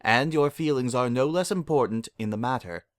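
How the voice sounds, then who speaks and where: Storybook role: dignified pony princess, played by a man, courtly and measured counsel, dry close recording. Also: medium pitch, dry recording, voice pushed up, close to an everyday voice. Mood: kindly counsel. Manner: formal, measured, archaic courtesy. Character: regal, gentle princess mare of the night, formal, solemn.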